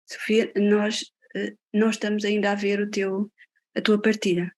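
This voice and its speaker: A woman's voice: medium pitch, monotone, a little slow. A woman moderating an online event over a video call, hesitant with many fillers.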